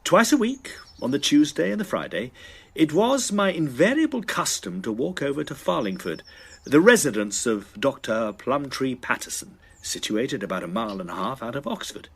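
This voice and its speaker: Self-assured young Victorian student, animated delivery. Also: male voice, mid-range voice, moderate pace, creaky, breathy edge, exaggerated voice. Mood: measured storytelling. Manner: formal, articulate Victorian phrasing. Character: sceptical, self-assured young male Oxford medical student. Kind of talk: dramatised first-person period narration and dialogue.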